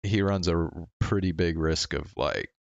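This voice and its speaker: A man with a low, smooth voice talks slowly and haltingly, as if thinking aloud on a casual livestream. He stammers into restarts and swears offhandedly. The sound is close and dry. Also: no echo, lo-fi sound. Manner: casually profane. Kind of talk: casual rambling stream chat.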